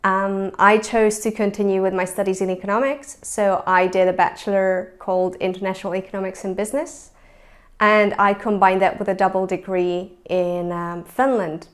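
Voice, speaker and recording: A young woman from Hungary talks about her studies in an easygoing, reflective way. She often pauses on "um" and restarts a phrase. Her voice sits at an ordinary middle pitch. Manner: thoughtful, with many ums and restarts. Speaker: former university student. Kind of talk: casual spoken account to camera.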